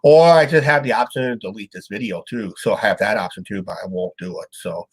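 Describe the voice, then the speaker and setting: A man's voice: on the low side, breathy edge, poor audio. Stuffed-up, rambling man hosting. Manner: rambling, halting, trails off with "and that". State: stuffed-up nose. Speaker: show host. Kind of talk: casual live-stream chat.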